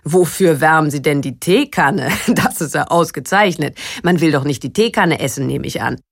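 A woman voicing a blustering, scornful middle-class German gentleman for an audiobook, pompous and incredulous. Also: low-pitched, animated, moderate pace, vocal fry, breathy edge, no echo, studio quality, exaggerated voice. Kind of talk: audiobook character voice.

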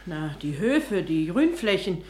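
A woman's voice: fairly low pitch, very animated, takes it slowly, a little mellow. A woman playing a determined, plain-spoken housekeeper in a radio play. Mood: determined, uneasy. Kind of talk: German radio play acting. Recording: echoey room, noisy ambience.

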